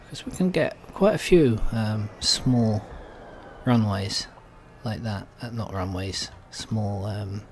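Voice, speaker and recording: A man's voice: fairly low pitch, slow, a little mellow, a little hiss or hum. A man giving casual let's play commentary, thinking aloud in a hesitant way as he goes. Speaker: gaming video host.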